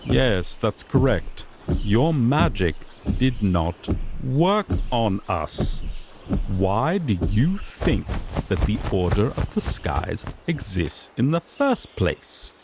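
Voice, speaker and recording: A sassy griffon knight, acted out by a man, fussy and formal as he lays down the law. His lowish voice moves slowly but swings dramatically up and down. He sounds earnest and a little exasperated, in fantasy audio drama style, over faint ambient noise. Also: big pitch swings, exaggerated voice. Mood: proud, explanatory. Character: sassy griffon knight, earnest rookie lawman making first arrest.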